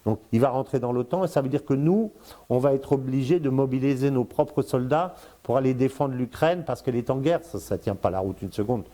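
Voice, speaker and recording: A man's voice: mid-range voice, a little fast, dark tone. An older man in his sixties giving political commentary in casual speech, hesitant, with many fillers and repeated words, addressing the listener directly. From born in Congo, lived in Africa, Spain, Brazil. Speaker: strategic affairs commentator, guest.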